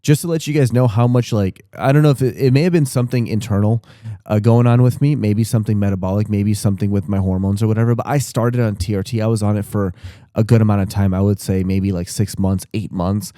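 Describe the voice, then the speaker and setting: Low-voiced man in his thirties, candid and rambling. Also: fairly even intonation, fast talker, warm tone, no echo, clean studio sound. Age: 30s. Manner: candid and rambling, with frequent restarts and casual swearing. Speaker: podcast co-host. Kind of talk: casual podcast chat.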